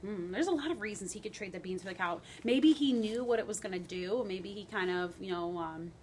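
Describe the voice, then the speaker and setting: A woman's voice: medium pitch, fairly quick, slight background noise. A woman teaching a grade school lesson, reading a story expressively and adding conversational asides and questions. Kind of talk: children's read-aloud lesson, storytelling and questions.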